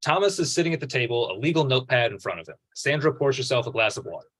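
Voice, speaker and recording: A man's voice: mid-range voice, animated, racing, fairly bright tone. A man reading out a script's stage directions as scripted narration at a table read.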